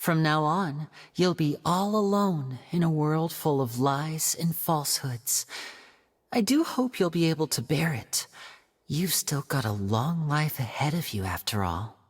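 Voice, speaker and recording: A woman voicing a poised young male stage magician in a video game, measured, theatrical and coldly pointed. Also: deep voice, expressive. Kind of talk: dramatic scripted video game dialogue. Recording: long hall reverb.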